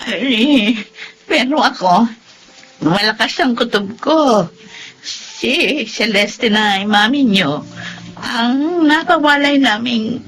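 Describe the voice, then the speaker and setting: A woman's voice: high voice, a bit bright, a little hiss or hum, full character voice, low-quality recording. An actress playing a sentimental, yearning elderly Filipino grandmother, plaintive in radio-drama style. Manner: plaintive, exclaiming with "ay".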